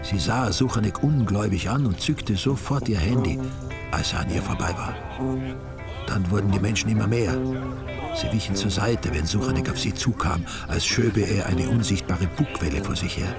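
Gravelly older narrator. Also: male voice, lowish voice. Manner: measured, wry storytelling. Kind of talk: scripted radio drama narration. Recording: studio radio play with music.

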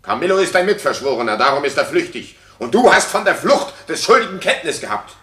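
A man's voice: fairly high pitch, very animated, quick pace, bright, forceful tone, roomy sound. An actor playing a jealous, raging king in a classical radio play, declamatory, scornful and cutting. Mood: furious accusation. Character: jealous, raging king accusing his wife. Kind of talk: classical German radio play stage acting.

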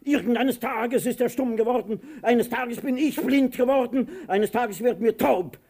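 An actor playing a pompous, helpless blind master with an imperious manner in a theatrical radio drama. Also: male voice, extremely high pitch, normal speed, a little mellow. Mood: imperious, furious. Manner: imperious, given to barked commands and outbursts.

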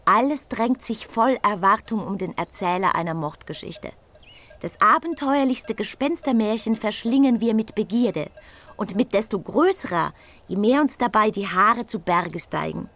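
A woman reading a literary essay aloud in a radio production, with a measured, formal recitation. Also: medium pitch, expressive, fairly bright tone. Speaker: reader.

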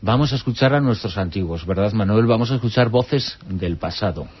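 Male radio host, lowish voice, halting delivery, lo-fi broadcast sound. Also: expressive, husky. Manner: hesitant, many fillers. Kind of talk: late-night radio talk show. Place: radio studio.

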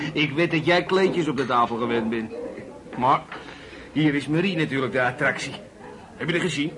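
An actor playing a chatty, blunt, nosy lorry driver in a radio drama. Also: male voice, medium pitch, unhurried, long pauses, a crowd in the background, lightly acted. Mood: jovial. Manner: jovial, brash, prying. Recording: clear vehicle noise behind dialogue.

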